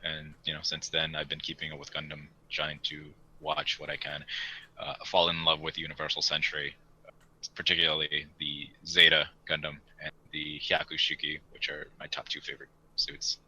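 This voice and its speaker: Very deep, sharp-voiced man. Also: completely flat delivery, moderate pace, a little hiss or hum, rough recording. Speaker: podcast host. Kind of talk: casual chat.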